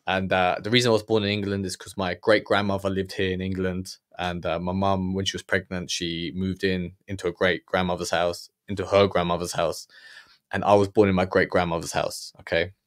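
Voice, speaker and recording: Male host, 30s, lowish voice; blunt heated reaction commentary, stammering restarts, close studio mic. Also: little pitch movement, brisk. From Germany. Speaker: podcast host reacting to a documentary. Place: small room with purple lighting. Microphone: studio mic on boom, earphones.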